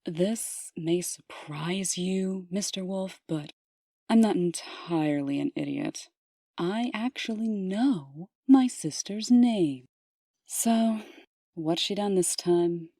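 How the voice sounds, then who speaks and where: Sardonic female boss for a comic dub, played by a woman: low, measured, imperious, dismissive, dry recording. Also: mellow, no echo. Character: curt, sardonic, imperious female deputy mayor.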